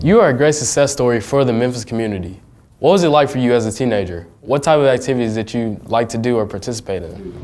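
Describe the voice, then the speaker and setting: American man hosting an interview, mid-pitched. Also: lively intonation, fast, darkish tone, slight background noise. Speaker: interviewer, host. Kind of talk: scripted introduction and prepared interview questions.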